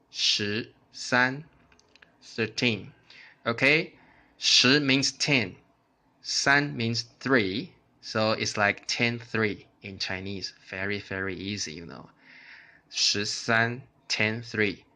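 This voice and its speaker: A man's voice: fairly low pitch, sharp tone. A man teaching a language lesson, patiently repeating each number word by word for learners to copy. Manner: slow, patient repetition, drilling each word.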